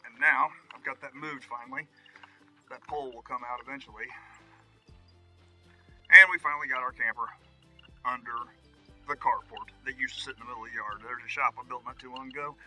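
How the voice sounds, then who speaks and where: A man's voice: mid-range voice, steady tone, fairly quick, long pauses, bright, forceful tone, breathy edge, rough recording. A man giving a casual, folksy, conversational tour of his property outdoors, as a vlog host. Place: outdoors on wooded rural land. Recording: music behind the voice.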